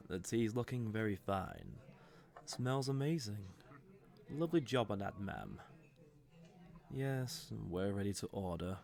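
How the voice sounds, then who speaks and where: A man's voice: on the low side, creaky, exaggerated voice. A man playing a cocky, suave fantasy villain who teases and flirts smugly in an audio roleplay. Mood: playful, smug. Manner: smug, playful, unhurried teasing with soft chuckles. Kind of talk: scripted audio roleplay addressed to the listener.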